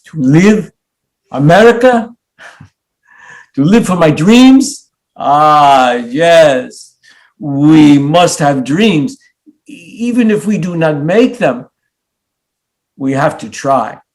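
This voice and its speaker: An earnest immigrant dreamer, acted by a man in a stage drama, with a fairly high voice that swings widely. He speaks very slowly and haltingly, wistful yet stubbornly hopeful. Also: animated, darkish tone. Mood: hopeful, resolute. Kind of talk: stage play reading.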